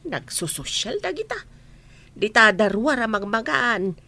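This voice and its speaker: A woman's voice: fairly high pitch, very animated, measured pace, gravelly, sharp tone, heavily acted. A woman with an Ilocano accent playing a caring motherly village elder in a radio comedy drama. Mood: tender.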